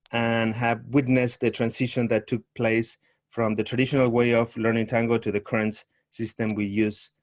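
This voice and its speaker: A middle-aged man from Argentina, a tango teacher speaking over a video call in a measured, thoughtful way with frequent pauses. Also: mid-range voice, a little mellow. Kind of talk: online summit interview, presenting.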